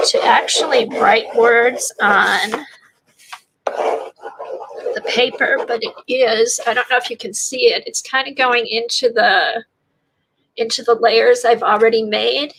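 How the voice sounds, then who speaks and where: Woman w a stuffy nose talking slow thru an art demo, kinda high voice, noisy stream audio. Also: creaky. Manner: rambling, thinking aloud while working. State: congested from a sinus infection. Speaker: art livestream host. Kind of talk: casual art demonstration.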